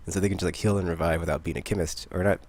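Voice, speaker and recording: A man hosting a podcast, chatting about games in a rambling, excitable way. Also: sits low, very fast. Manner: rambling, excitable, laughs often. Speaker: podcast host. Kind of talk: casual gaming chat.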